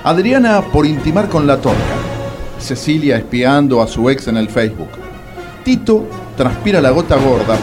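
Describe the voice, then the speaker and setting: Radio serial narrator, highish lively voice, teasing, theatrical, prominent music behind. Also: male voice. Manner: theatrical, teasing announcer delivery.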